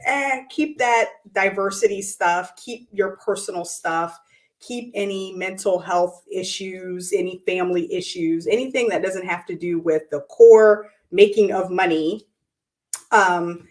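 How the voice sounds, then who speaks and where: A woman hosting her weekly show, hoarse and raspy from losing her voice, talking upbeat with lots of ums and restarts in a room by a window. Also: lowish voice. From United States. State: hoarse from lost voice. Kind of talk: casual talk to camera.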